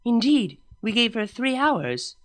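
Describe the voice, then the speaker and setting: A woman's voice: fairly high pitch, sing-song, measured pace, soft background music, pitched above the performer's own voice. A woman reading a fan story aloud, voicing a dry, teasing younger royal pony princess who speaks matter-of-factly. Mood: sarcastic. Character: sarcastic younger royal mare princess sister.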